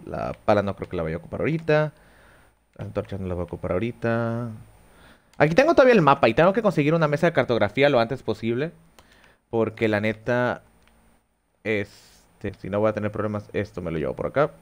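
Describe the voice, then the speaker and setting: A man's voice: lowish voice, animated, fast talker. A young man with a Mexican accent chatting casually with viewers while playing, thinking aloud and swearing lightly, on a headset at home. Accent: Mexican Spanish. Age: young adult. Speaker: streamer. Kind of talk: casual gameplay chat with viewers. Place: small room at home.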